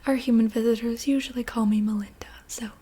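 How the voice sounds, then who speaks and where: A courteous forest creature of bark and moss, whispering softly and hospitably, as a woman puts it on. The whisper is mellow and breathy, with a slightly hesitant, kindly manner. It is an ASMR storytelling piece. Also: a little high, steady tone, fast talker. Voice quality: soft whisper. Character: gentle polite creature of wood, bark and moss.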